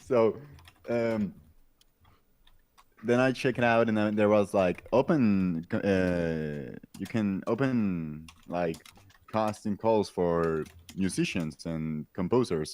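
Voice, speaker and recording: A man composer with a very low voice, chatting casually on a podcast call, laughing often and pausing on fillers. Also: animated, a little mellow. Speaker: composer on the team.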